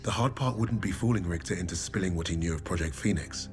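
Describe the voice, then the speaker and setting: A man's voice: low voice, quick pace. A man playing a resolute, calculating Allied lieutenant and team leader in a scripted, cinematic war game. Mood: grim, determined. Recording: cinematic music behind the voice.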